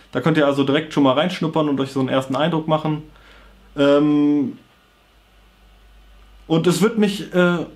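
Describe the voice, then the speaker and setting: A young adult man with a cold with a sore throat, talking casually to camera in an informal, slangy, offhand way. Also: fairly high pitch. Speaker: vlogger. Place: small bedroom with computer desk, indoors.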